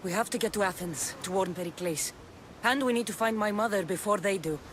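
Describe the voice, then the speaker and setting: A woman's voice: medium pitch, fairly quick, slight background noise, lightly acted. An actress voicing a determined young female mercenary hero, firm and earnest in a video game cutscene. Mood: resolute. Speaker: game protagonist. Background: music.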